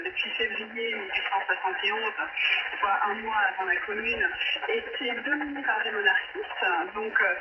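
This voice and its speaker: A female guest gives an explanatory interview answer, feeling for her words as she goes. She is on a telephone relayed over shortwave, dull in tone and buried in heavy static. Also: on the high side. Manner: hesitant, searching for words. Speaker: guest academic or writer. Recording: noisy phone line over shortwave radio. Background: loud static and hiss.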